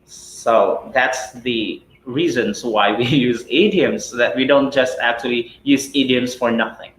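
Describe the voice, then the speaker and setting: A man's voice: medium pitch, unhurried, fairly bright tone, echoey room, slight background noise, poor audio. A man from the Philippines with a Filipino accent, whose first language is Cebuano, teaching a live English lesson in a chatty way, with lots of "right?" and "okay?". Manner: chatty, rhetorical questions with tag "right?" and "okay?".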